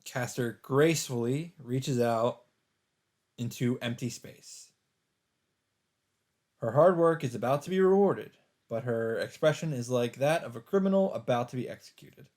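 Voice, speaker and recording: A man's voice: medium pitch, measured pace, darkish tone. A man hosting a let's play, reading visual novel narration aloud and adding his own commentary. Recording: game music behind the voice.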